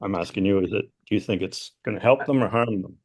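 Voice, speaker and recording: A man with a fairly low, raspy voice sounds tired as he asks a question over a video call. He talks in a friendly, rambling way. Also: quick pace, a little mellow. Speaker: audience member asking a question.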